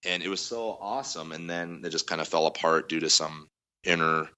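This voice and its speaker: A man with a very deep but strikingly bright, loud voice talks through a story in a casual interview. He rambles, circling back with frequent fillers and restarts. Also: a little fast, lo-fi sound. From Denver area. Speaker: guest musician.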